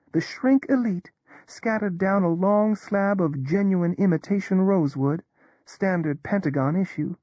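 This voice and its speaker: A woman's voice: fairly low pitch, dry recording, close to an everyday voice. A woman brings to life a cynical, world-weary Texan whose drawl stretches every word. Her tone stays level and sardonic, never rising to alarm. The sound is close and dry, with no room around it. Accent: Texas. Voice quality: drawling, flat neutral tone. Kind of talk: audio drama performance.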